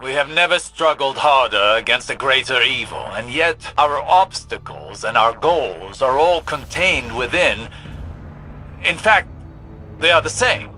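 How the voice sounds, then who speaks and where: An actor playing an older scholarly mentor in a video game, intense and demanding, with formal, measured, philosophical speech. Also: male voice, medium pitch, animated, bright, forceful tone, faint music behind, rough recording. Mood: pensive, instructive.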